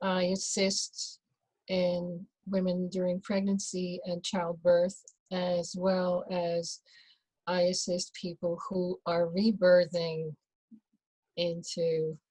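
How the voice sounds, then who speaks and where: A woman from the Netherlands, a doula, talking as an interview guest in a slow, hesitant way with many fillers and restarts. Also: lowish voice, monotone, warm tone, dry recording, rough recording. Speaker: guest, birth and rebirth doula. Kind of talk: casual conversational interview.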